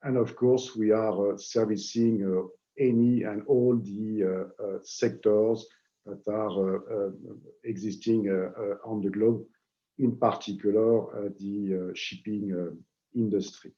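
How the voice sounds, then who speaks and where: Halting French-accented man, muffled call audio. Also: on the low side, steady tone, warm tone. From France. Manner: halting, with frequent "uh" fillers. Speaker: guest presenter. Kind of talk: webinar presentation. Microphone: video call. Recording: dull lo-fi online call audio.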